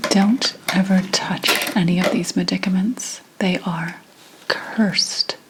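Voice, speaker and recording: A woman playing a mystical, brooding 17th century witch healer in a breathy, whispered ASMR roleplay, telling things solemnly with deliberate pauses. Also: fairly low pitch, exaggerated voice, rough recording. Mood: grave, brooding.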